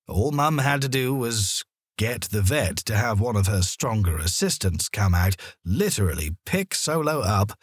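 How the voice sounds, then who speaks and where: A man narrating a children's story in a deliberate, expressive storytelling manner, as an audiobook reader. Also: fairly low pitch, breathy edge, no echo, studio quality.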